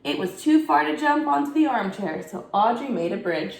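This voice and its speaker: A woman, a teacher, expressively reading a bedtime story aloud to children and pausing to ask her young listeners questions. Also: high voice, expressive, vocal fry. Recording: echoey room.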